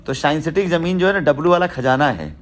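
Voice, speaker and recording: A man's voice: a little high, animated, fast. Man holding forth on a call. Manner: rhetorical, repetitive, talking in lists and analogies. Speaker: company boss on a call. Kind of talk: informal business phone conversation. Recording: recorded phone call.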